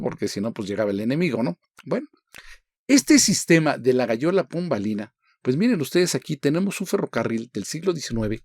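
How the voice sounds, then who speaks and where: A man's voice: mid-range voice, darkish tone, dry recording, clean studio sound. A man giving an informal lecture, asking rhetorical questions and checking in with his listeners. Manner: conversational, asks rhetorical questions, frequent "¿sí?" and "¿no?". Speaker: lecturer on construction history. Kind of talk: informal history lecture with slides.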